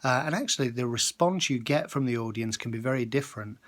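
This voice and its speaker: Guy, medium pitch, chatty explainer giving business social media tips, bit hesitant mid sentence. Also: fast talker, fairly bright tone. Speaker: social media marketing presenter. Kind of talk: informal advice to camera.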